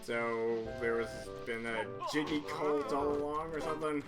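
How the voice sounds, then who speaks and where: Hoarse man chatting over a game. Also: fairly high pitch, moderate pace. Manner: rambling, self-correcting, chatty. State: hoarse, voice cracking from illness. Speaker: video game player. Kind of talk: casual let's-play commentary. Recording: loud game music under voice.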